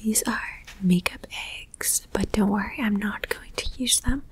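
A woman whispering softly in an ASMR personal-attention roleplay, gently reassuring, with repeated trigger words and small chatty asides. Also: medium pitch, a little slow, breathy edge. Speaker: ASMR creator.

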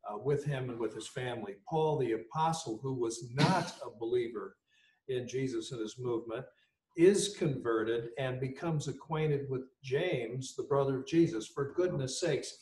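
A man, explaining as a professor on a radio panel, emphatic and assured. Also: medium pitch. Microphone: headset. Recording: echoey room, slightly distant.

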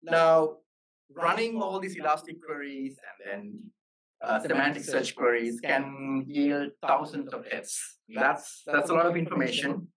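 A man presenting as a software engineer at a meetup, explaining technical material in halting phrases. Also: on the high side. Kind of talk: technical conference talk. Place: live meetup talk. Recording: voice slightly distant from microphone.

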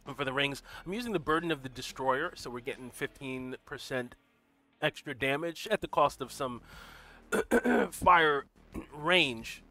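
A man hosting a gaming livestream in casual commentary, greeting viewers by name and walking through his build, a little stuffy from a cold and clearing his throat now and then. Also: mid-range voice, expressive, a little slow, sharp tone. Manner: walks through game build details. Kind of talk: casual live gameplay commentary.

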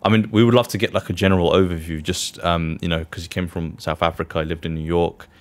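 A young man hosting a podcast, introducing a guest in an enthusiastic but hesitant way with many fillers, in a small studio on a boom mic. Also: fairly even intonation, quick pace. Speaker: podcast host. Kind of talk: casual podcast interview. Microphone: studio mic on boom arm.

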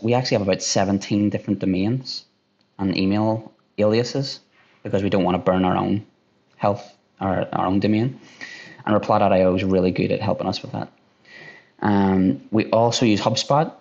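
A man in his thirties with an Irish accent, a sales director answering interview questions on a video call, talking fast and restarting often. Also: lowish voice, fairly even intonation, a little mellow. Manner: fast-talking, repeats himself, many restarts. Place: room at home by a window. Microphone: headphones on a video call. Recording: dull lo-fi call audio.

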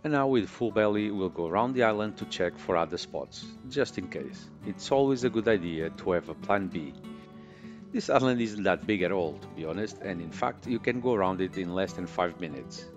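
A man narrating his sailing trip as a travel vlog voice-over, in a relaxed tone with wry understatement. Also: low-pitched, normal speed, lo-fi sound. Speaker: boating vlogger. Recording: music playing underneath.

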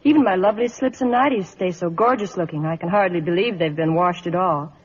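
Actress guest on old radio show, scripted plug, husky, echoey muffled sound. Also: female voice, lowish voice, a little fast. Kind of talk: scripted 1950s radio interview and endorsement. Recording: muffled, reverberant vintage radio broadcast.

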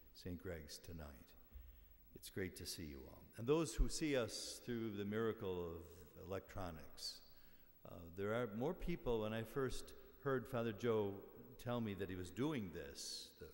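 An older American man preaches an informal homily as a priest. His voice sits a little low, and he talks conversationally, pausing and wandering into asides. A lectern microphone carries him through the long reverb of a large church. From western New York, United States. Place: large church interior, at the ambo. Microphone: gooseneck lectern microphone. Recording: reverberant church hall sound.

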